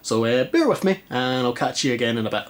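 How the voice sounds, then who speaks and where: A man's voice: medium pitch, sing-song, fast talker, slight background noise. Stuffy Scottish man, chatty and rambling. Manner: chatty, self-mocking, rambling. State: stuffy, congested. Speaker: retro game vlogger. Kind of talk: casual chat. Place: dim living room at a TV.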